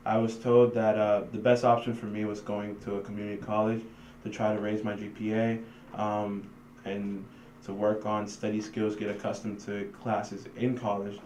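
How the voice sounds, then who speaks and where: A young man with an American accent giving a personal testimonial as a student, with hesitant pauses. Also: fairly low pitch, completely flat delivery, darkish tone. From Washington, D.C., United States. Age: young adult. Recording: echoey room, faint background noise.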